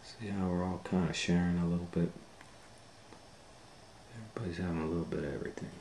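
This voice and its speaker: A middle-aged man reading a picture book aloud to children in a relaxed, chatty way, sometimes dropping to a near whisper, recorded on a close camera mic at home. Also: low-pitched, fast, slight background noise. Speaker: children's storytime reader. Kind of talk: casual read-aloud for kids.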